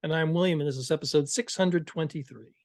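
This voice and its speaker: A man in his fifties co-hosting a podcast, speaking in short, dry, low-key replies. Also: fast, darkish tone. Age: 50s. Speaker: podcast co-host. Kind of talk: casual chat.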